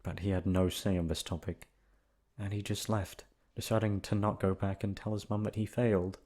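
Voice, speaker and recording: A man's voice: lowish voice, level tone, normal speed, darkish tone. A man narrating a scripted bedtime story as an ASMR storyteller.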